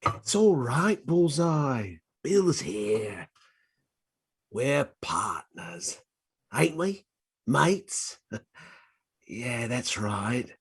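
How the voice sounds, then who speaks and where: A man playing a rough, murderous street criminal in a stage play reading, husky, speaking clipped working-class slang. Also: medium pitch, big pitch swings, extremely slow, long pauses, heavily acted. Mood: falsely soothing.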